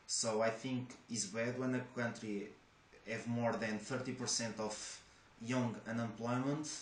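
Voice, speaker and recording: A man's voice: mid-range voice, fairly even intonation, unhurried. Portuguese-accented young man, echoey room. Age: young adult. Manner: hesitant, many restarts and fillers. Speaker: job applicant. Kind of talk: interview answers. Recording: echoey room with faint noise.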